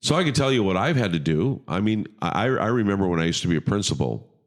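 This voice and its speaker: A man's voice: fairly low pitch, sing-song, fast talker. A middle-aged man with a big voice, a pastor hosting a casual podcast chat and talking emphatically, often checking in with "okay?".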